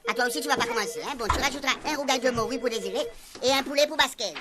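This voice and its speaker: A high-voiced actress as an overworked police secretary, talking extremely fast. She is snappy and insistent in radio soap style, with busy crowd noise behind. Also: lightly acted. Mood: exasperated. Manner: exasperated, insistent.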